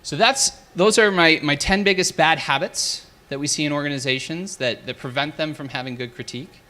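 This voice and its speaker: A man giving a conference talk and taking questions from the audience, direct and energetic. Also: fairly high pitch, a little fast. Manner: direct, energetic, asks the room. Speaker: conference speaker.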